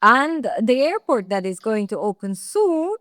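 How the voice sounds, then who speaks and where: A woman's voice: fairly high pitch, big pitch swings, a little slow, fairly bright tone, dry recording, studio quality. A woman from Iran with an Iranian accent, talking casually as a podcast co-host. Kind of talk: casual conversational podcast chat.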